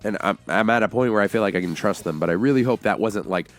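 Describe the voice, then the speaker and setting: A man's voice: on the low side, fast, soft background music. A man co-hosting a show, casually giving his opinions in a movie discussion. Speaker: show co-host.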